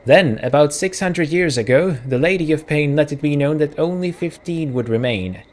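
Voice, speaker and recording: A man's voice: a little high, moderate pace, darkish tone. A man with a Czech accent, a native Czech speaker, hosting a let's play in casual commentary, reading game text aloud and musing through self-corrections as he thinks aloud.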